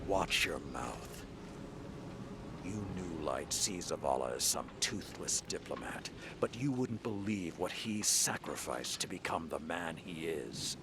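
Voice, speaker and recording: A grizzled older warlord speaks in an extremely low, gravel-filled growl. He is stern and world-weary, measuring out each phrase slowly like a game-cutscene commander, with music behind him. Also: lively intonation. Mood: angry, firm. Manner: gruff, slow, weighty delivery. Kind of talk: video game cutscene acting.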